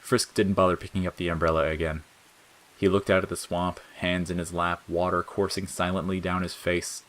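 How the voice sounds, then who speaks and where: A man with a low-pitched voice narrates a piece of audio fiction. He reads scripted prose in a dramatic storytelling style. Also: little pitch movement, moderate pace, fairly bright tone, low-quality recording.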